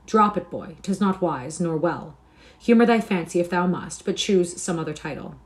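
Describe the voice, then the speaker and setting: A woman's voice: fairly low pitch, fairly quick, a little mellow, lightly acted. A woman voicing a burly male gang chief in a storybook, firm and good-natured, with archaic rogue speech. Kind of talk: storybook character reading.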